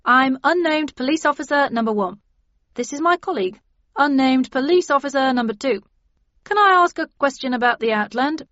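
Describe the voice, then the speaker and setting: A woman's voice: high voice, animated, moderate pace, a bit bright, dry recording, highs cut off, pitched above the performer's own voice. A woman voicing a suspicious, nosy uniformed male police officer in an audiobook, blunt and questioning.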